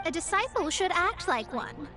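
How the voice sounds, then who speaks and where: A woman's voice: extremely high pitch, very animated, normal speed. A woman voicing a proud, haughty young astrologer who lectures others in a lofty way. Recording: game music in background.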